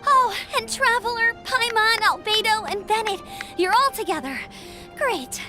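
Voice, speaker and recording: A bright-spirited young female scout from a fantasy game, talks in a high voice with lively swings. She is eager, friendly and helpful, with music behind her. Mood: excited, relieved. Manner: bubbly, brisk, friendly. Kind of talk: video game voice acting.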